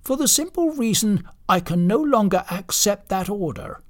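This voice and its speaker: A man's voice: high-pitched, expressive, moderate pace, pitched above the performer's own voice. A man voicing a proud, argumentative reindeer in a storybook, speaking in an indignant, pedantic manner.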